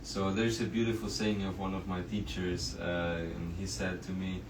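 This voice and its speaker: An earnest man with a lowish voice shares thoughts as a podcast guest, pausing often with filler sounds. He sounds far from the mic in a roomy space. Faint noise sits underneath. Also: flat delivery. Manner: earnest, pauses with many uhs. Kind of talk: casual spiritual chat.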